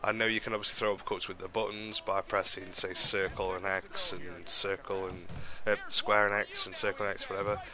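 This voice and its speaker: A man's voice: deep voice, level tone, fairly quick, hardly any pauses. A young man with a stuffy, nasal voice from a cold, giving rambling commentary on a boxing video game. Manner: rambling, many ums and restarts. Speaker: gaming commentator. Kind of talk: casual gameplay commentary.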